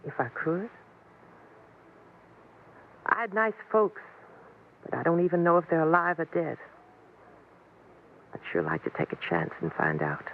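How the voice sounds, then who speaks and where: A woman's voice: low-pitched, very animated, a little slow, long pauses, slight background noise, exaggerated voice. A tinny vintage radio recording of a weary, lonesome American frontier woman. She talks in a plain, folksy way, like someone who has had a hard life in the Old West. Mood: rueful. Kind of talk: 1950s radio western acting.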